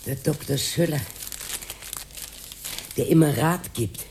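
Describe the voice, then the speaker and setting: Low-voiced, stubborn older Austrian woman. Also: takes it slowly, gravelly, background noise, heavily acted. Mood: chatty. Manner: plain-spoken, stubborn. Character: lonely, stubborn older working-class woman, resigned. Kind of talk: radio drama acting, colloquial.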